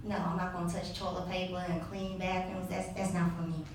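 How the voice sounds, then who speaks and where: A woman's voice: on the low side, flat delivery, a little fast, a little mellow. Young woman, live storytelling, sassy, laughing asides, distant mic, faint room hum. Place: live event venue. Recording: echoey hall, distant mic.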